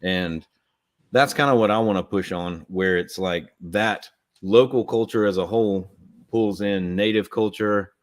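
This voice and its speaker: A man's voice: on the low side, a little slow. A man, chatting casually and rambling on a livestream about a comic he co-created. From Southern US. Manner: rambling, heavy use of "like".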